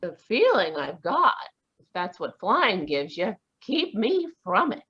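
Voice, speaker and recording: A woman's voice: medium pitch, sing-song, takes it slowly, pushed and bright, slightly rough, exaggerated voice. A woman voicing a skeptical young woman in an old-time radio play, tart and sarcastic. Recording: dull lo-fi video call audio.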